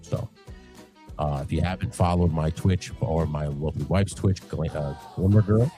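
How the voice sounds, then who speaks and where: Male host, low voice, very dark tone, loose and upbeat, faint music underneath. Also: normal speed. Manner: loose and upbeat, swears casually. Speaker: podcast host. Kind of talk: casual chat.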